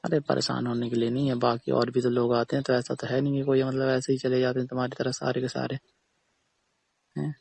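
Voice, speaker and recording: A man's voice: medium pitch, monotone, a little fast, gravelly, darkish tone, slightly rough. A man playing a defensive tailor who keeps explaining himself insistently to a customer in a casual phone conversation. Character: defensive male tailor arguing patiently with a customer.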